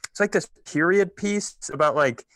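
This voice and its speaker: A man's voice: a little high, normal speed, fairly bright tone, dry recording. A man ranting casually and comedically as a podcast host, exasperated and swearing freely. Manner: exasperated, profane.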